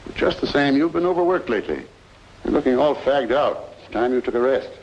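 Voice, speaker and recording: An actor playing a soothing, persuasive older friend with a gravelly voice in an old radio drama, uneasy underneath. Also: male voice, medium pitch, animated, brisk, darkish tone, natural voice. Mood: coaxing. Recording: dull, noisy old radio recording.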